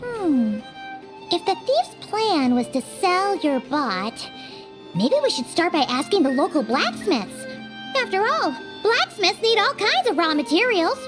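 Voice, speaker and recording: Super high squeaky little companion thing, bouncy, bossy, loud game music behind. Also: female voice, sing-song, full character voice. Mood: blunt. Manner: blunt, teasing, quick to take charge. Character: tiny floating female companion, chirpy and blunt. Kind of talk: video game voice acting.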